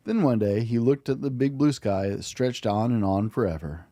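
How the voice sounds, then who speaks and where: A man hosting and reading a children's picture book aloud in an expressive, gentle bedtime-story way. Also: mid-range voice, moderate pace, no echo, clean studio sound. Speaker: podcast host and storybook reader.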